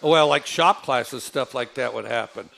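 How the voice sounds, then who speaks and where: A man with a low voice, telling stories in a casual, rambling way, with many fillers and restarts. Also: measured pace, vocal fry, a bit bright, slight background noise. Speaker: football coach. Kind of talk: casual storytelling.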